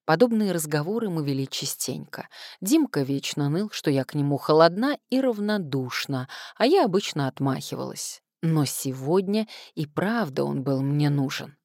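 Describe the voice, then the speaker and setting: A woman's voice: sits low, lively intonation, moderate pace, few pauses, darkish tone, dry recording, studio quality. A woman reading first-person fiction expressively as an audiobook narrator.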